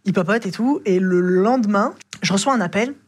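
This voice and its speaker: A man's voice: high-pitched, a little fast. A man, a rideshare driver, telling stories from his rides in a rambling, casual way, full of slang and fillers. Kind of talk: casual storytelling.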